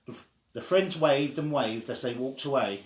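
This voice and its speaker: A man reading a children's story aloud in an expressive way, sometimes stumbling and starting again. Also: medium pitch, normal speed. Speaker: story reader. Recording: echoey room.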